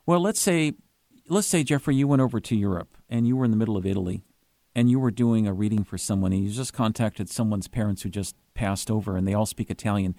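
Mellow-voiced man hosting a radio interview. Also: on the low side, fast talker, dry recording, studio quality. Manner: earnest, gently probing questions. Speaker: radio host.

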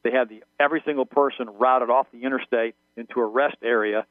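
A man's voice: mid-range voice, steady tone, quick pace, no room sound. A man whose first language is German, a guest on a radio call-in interview, rambling and often repeating words and restarting. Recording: tinny phone line, dry.

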